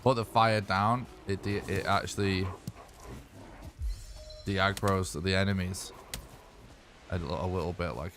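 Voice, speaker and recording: Deep-voiced man, going hoarse. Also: a little hiss or hum. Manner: rambling, relaxed, thinks aloud while playing. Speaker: streamer. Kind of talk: casual live-stream gaming chat.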